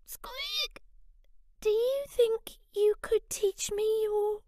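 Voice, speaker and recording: A woman voicing a tiny, timid male mouse who squeaks and speaks nervously and politely in a storybook reading. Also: huge pitch swings, drawn-out words, a little mellow, no echo, clean studio sound, full character voice.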